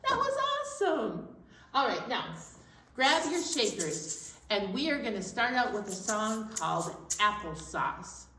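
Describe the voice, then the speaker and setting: Bright, high-voiced woman leading children's storytime. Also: sing-song, a little slow. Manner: simple, encouraging talk addressed to young children. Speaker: children's storytime leader at a library. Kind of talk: children's storytime, explaining hand motions and sing-along songs. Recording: roomy, distant mic, faint background noise.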